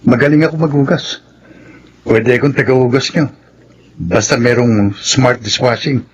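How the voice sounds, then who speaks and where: A man's voice: medium pitch, moderate pace, slightly rough, close to an everyday voice. A young man with a Filipino accent playing a rebellious, stubborn son in a radio drama, defiant and brash. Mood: casual, pleading. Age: young adult. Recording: noisy radio drama track.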